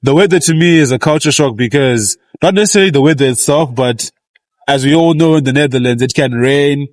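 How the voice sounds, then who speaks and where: A young man from Zimbabwe answering a street interview in a thoughtful, measured way. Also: medium pitch, dry recording. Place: outdoors on a street.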